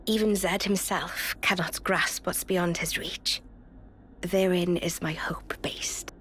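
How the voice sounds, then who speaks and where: A woman's voice: on the low side, fairly even intonation, fairly bright tone. A solemn whispering queen. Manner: measured, formal, half-whispered. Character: regal, solemn young queen. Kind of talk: video game cutscene acting.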